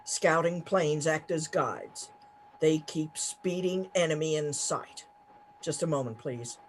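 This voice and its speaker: A man's voice: a little high, slow pace, a little hiss or hum. A man playing an urgent, formal news announcer reading bulletins in a 1930s-style radio play. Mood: hurried.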